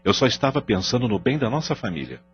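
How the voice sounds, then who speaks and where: A man's voice: mid-range voice, big pitch swings, fast talker, lo-fi sound, faint music behind, natural voice. An actor with a Brazilian accent playing a contrite, earnest husband and father in an audio drama. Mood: earnest, defensive.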